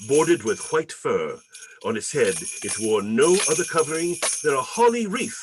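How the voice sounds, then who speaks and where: A man narrates a classic story as a radio play with theatrical, deliberate phrasing. His mid-pitched voice rises and falls widely, with music clearly behind it. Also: rough recording. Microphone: video call.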